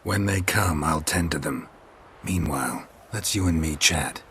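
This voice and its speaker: A laconic male monster hunter in a fantasy game, with an extremely low, gravelly voice. He speaks in terse, deadpan phrases, weary and unbothered, over faint background noise. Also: measured pace. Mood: terse, calm. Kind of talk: video game character acting.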